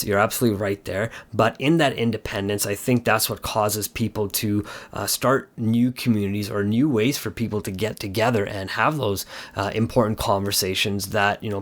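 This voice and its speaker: A man's voice: lowish voice, fairly quick, few pauses. A man co-hosting a podcast, talking casually and earnestly in a hesitant, rambling way full of "you know". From Canada. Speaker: podcast co-host.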